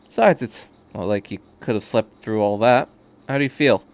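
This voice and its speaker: A man voicing a brisk, quirky time-travelling alien in a story reading, breezy and matter-of-fact in conversation. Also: fairly low pitch, gravelly. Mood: curious.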